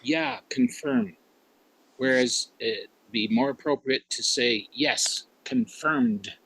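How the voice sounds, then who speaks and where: A man's voice: medium pitch, a bit bright, slight background noise, poor audio. A man from Canada giving a grammarian's report at a club meeting, thinking aloud with many fillers. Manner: slow and musing, with frequent fillers.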